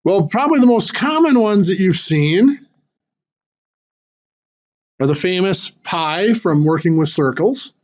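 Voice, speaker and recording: A man teaches a math class, walking through each idea step by step with small fillers and restarts. His voice sits high and sounds hoarse, with frequent throat clearing as he loses it. The recording is dull and lo-fi. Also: lively intonation, a little slow, pauses often, darkish tone.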